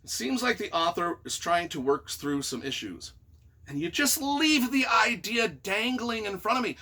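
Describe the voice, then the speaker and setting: Animated, high-pitched man reacting to reviews. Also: normal speed. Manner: theatrical, emphatic, mock-exasperated. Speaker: author reading and reacting to reviews. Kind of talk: reading reviews aloud with off-the-cuff commentary.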